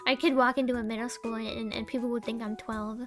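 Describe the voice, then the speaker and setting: A young woman with a tiny, sweet, high voice chats on her stream. She rambles, trails off and laughs at her own deadpan jokes. Soft music plays faintly behind her. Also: quick pace. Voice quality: small sweet voice. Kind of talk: casual live-stream chat.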